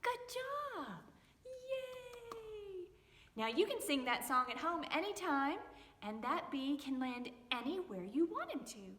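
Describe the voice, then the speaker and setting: A woman's voice: very high voice, lively intonation, slow pace, fairly bright tone. A woman hosting a children's storytime, reading aloud theatrically and stretching words for suspense. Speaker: library storytime host and storyteller. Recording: echoey reverberant room.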